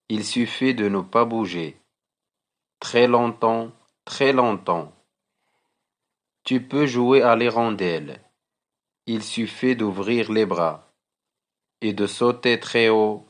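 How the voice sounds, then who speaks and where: A man reciting a children's poem for a school lesson, deliberately and with measured phrasing, as a teacher would. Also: lowish voice.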